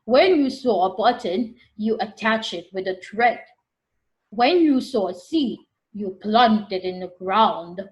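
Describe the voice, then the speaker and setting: Lady reading as a kindly farmer guy in a kids book, explains stuff slowly, lively pitch. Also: low-quality recording. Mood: welcoming. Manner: slow, emphatic explaining. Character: patient, friendly male farmer teaching in a picture book.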